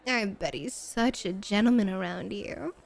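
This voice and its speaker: A woman's voice: mid-range voice, creaky. A woman playing a flirty, dominant and playful hostess at a bunny club in an ASMR roleplay, teasing and drawing out her words coquettishly. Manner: teasing, coquettish, drawn-out purring.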